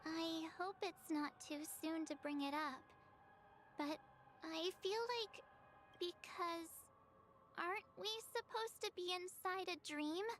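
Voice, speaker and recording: A bubbly, candid teenage girl in a video game. The voice is very high and bright, youthful and a little hesitant, at an unhurried pace. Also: fairly even intonation, a little hiss or hum, heavily acted. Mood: hesitant, wistful.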